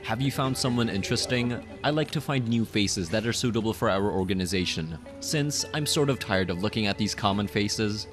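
A man's voice: fairly low pitch, soft background music, close to an everyday voice. A man playing a possessive, menacing yet playful mafia boss in an ASMR roleplay, with a teasing, theatrical delivery. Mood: calm, amused. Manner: slow teasing, sinister chuckles, theatrical.

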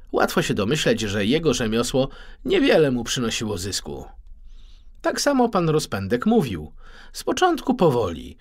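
A man reads a children's story as a scripted audiobook. His delivery is theatrical and expressive, with big swings in pitch. The middle-pitched voice has a husky grain. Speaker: audiobook narrator.

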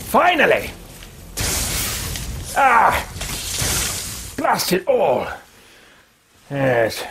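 A man's voice: on the high side, creaky, fairly bright tone, husky, heavily acted. A man roleplaying an elderly, earnest wizard in a video game, talking to himself in formal, old-fashioned, theatrical language. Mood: exclaiming, alarmed. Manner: formal, archaic, theatrical self-talk.

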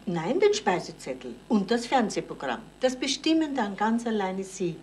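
A woman's voice: medium pitch, big pitch swings, normal speed, a little mellow, natural voice. An actress playing a bossy housekeeping woman in a radio drama, coaxing and persuasive. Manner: persuasive, managing. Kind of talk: German radio comedy-drama acting. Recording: slightly noisy 1970s radio recording.

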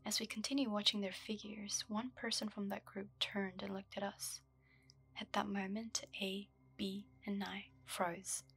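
A young woman's low voice, kept almost wholly to a soft, breathy whisper as she reads a story for ASMR listeners. The tone is very husky with some gravel at the bottom. Her pitch barely moves, and the sound is close and dry. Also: flat delivery, unhurried. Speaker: ASMR host. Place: dark room lit by a candle.

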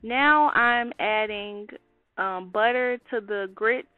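A woman's voice: mid-range voice, slow, no echo, phone-quality sound. A young woman hosting a casual home cooking video, talking viewers through a recipe in a chatty, slangy way. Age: young adult. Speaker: cooking vlogger. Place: small home kitchen, indoors.

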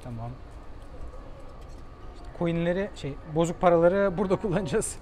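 A man's voice: high-pitched, animated, normal speed, darkish tone. A man narrating a casual travel vlog, thinking aloud and chatting with his companion as he buys a metro token. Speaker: travel vlogger. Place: metro station and train, indoors. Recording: noisy public space.

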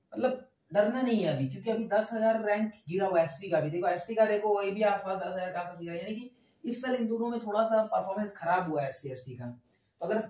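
A man's voice: high-pitched, a little mellow. A man giving an informal whiteboard lesson, conversational, repeating his points and often checking in with short tag words. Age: 20s. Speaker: exam coaching teacher. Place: small indoor room at a whiteboard. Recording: roomy echo, distant microphone.

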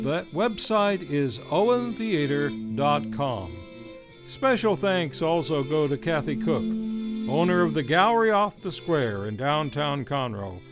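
A man reading scripted closing credits as a radio show announcer, in a formal, enunciated delivery. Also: on the high side. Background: faint music.

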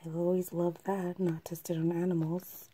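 A woman with a froggy, hoarse voice from a cold, chatting casually as she unboxes beauty products at her vanity table, often half-whispering and clearing her throat. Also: on the low side, level tone, moderate pace, dark tone, slight background noise. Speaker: beauty vlogger. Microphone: camera over the table, hands only in view.

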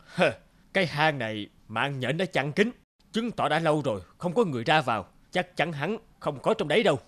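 An actor voicing a bossy, menacing bandit leader in an animated fairy tale, commanding and dramatic. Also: male voice, on the high side, fairly quick. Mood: assured, commanding.

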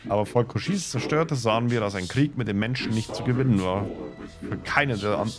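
A man's voice: fairly low pitch, brisk, over music. A man live streaming a game in speech, reading dialogue aloud with stumbles and adding casual comments. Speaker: gaming streamer.

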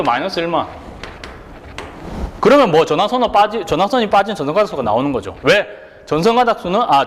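A man's voice: sits high, big-room sound. A man lecturing as an exam prep instructor, asking rhetorical questions and answering them himself, and often checking in with the listener. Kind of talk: online lecture.

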